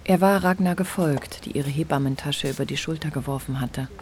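A woman narrating a literary radio play with measured, deliberate phrasing. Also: sits low, darkish tone, slight background noise.

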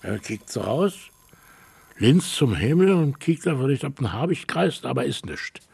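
Radio drama: folksy rambling villager, Berlin-Brandenburg accent, very raspy creaky voice, swinging intonation. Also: male voice, mid-range voice, big pitch swings, moderate pace, a little mellow, full character voice. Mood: sorrowful storytelling. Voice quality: husky, gravelly. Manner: rambling, colloquial storytelling. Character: folksy rural village man mourning his favourite hen. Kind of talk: naturalistic radio play acting.

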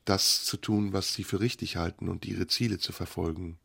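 Low, gravelly male radio interviewer. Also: moderate pace, no echo. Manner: calm, direct questioning with warm praise.

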